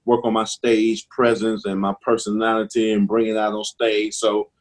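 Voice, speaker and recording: A middle-aged comedian from Mississippi with a strong Southern accent and a slight lisp, chatting casually in a laid-back, drawling way. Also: male voice, fairly low pitch, flat delivery, moderate pace. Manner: laid-back, drawling, says "man" a lot. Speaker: stand-up comedian guest. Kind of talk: casual interview chat.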